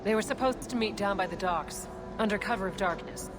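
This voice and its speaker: An actress playing a shady, businesslike smuggler boss in a video game, with dry sardonic delivery. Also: female voice, mid-range voice, fairly quick, noisy background, lightly acted. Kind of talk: video game dialogue acting.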